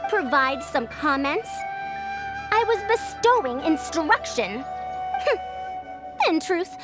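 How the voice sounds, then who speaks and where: A woman's voice: high voice, sing-song, slow pace, heavily acted. A woman playing a proud, self-assured senior female scholar with a girlish voice in a video game, talking formally down to her juniors. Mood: haughty. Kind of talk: video game character acting. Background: music.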